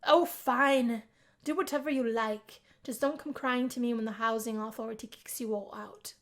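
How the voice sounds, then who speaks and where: A woman's voice: on the high side, steady tone, moderate pace, lightly acted. Woman as grumpy young male dorm adviser, visual novel; stern, exasperated, scolding. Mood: grudging, annoyed.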